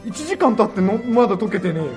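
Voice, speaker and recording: A man's voice: high voice, sing-song, quick pace, darkish tone, soft background music. A man co-hosting a comedy radio show, teasing and needling his partner in casual banter.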